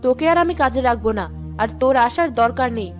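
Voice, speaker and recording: A woman voicing a rich, haughty, quick-tempered wife in a cartoon moral story, with an imperious manner. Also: on the high side, expressive, natural voice. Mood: angry scolding. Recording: music behind the voice.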